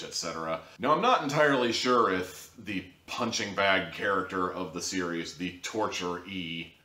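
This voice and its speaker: A man reviewing an audio drama in a rambling, sarcastic monologue, his pitch jumping up now and then for emphasis. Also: sharp tone, roomy sound.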